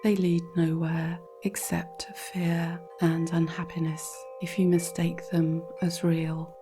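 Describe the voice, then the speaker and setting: A woman reading a scripted guided relaxation in a calm, measured, instructional way, with a soft, breathy voice. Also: fairly low pitch, music in the background. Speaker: guided meditation narrator.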